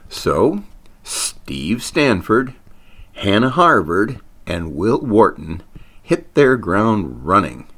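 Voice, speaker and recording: Man narrating a story with very animated pitch. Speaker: author reading own short story.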